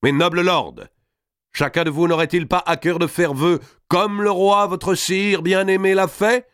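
A man's voice: high voice, steady tone, a little slow, a bit bright, no room sound, clean studio sound, voice pushed up. A man voicing a fervent, triumphant nobleman who orates in a solemn, courtly, declamatory way in an audiobook story. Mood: exalted, triumphant. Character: fervent, triumphant nobleman orating grandly at a feast.

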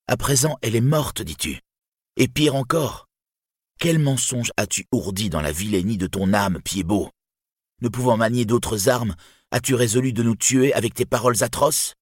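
A man's voice: low-pitched, animated, normal speed, hardly any pauses, husky, dry recording, clean studio sound. A man voicing a proud, wounded warrior hero in a fantasy storybook, declaiming in an imperious, indignant way. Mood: bitter, accusing. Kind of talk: dramatic fantasy storybook reading.

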